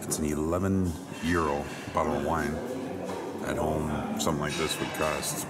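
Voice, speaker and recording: A man's voice: extremely low pitch, fairly even intonation, moderate pace, over a noisy crowd. A middle-aged man chatting casually and jokily for his travel vlog, talking into a camera held at arm's length. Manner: jokey, chatty, enthusiastic. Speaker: travel vlogger. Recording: loud background noise.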